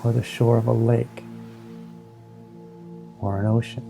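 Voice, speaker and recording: Man, meditation guide, creaky mid-pitched voice, soothing hypnotic delivery, short phrases with long pauses, new-age music underneath. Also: level tone, mellow. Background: ambient new-age music with singing bowls.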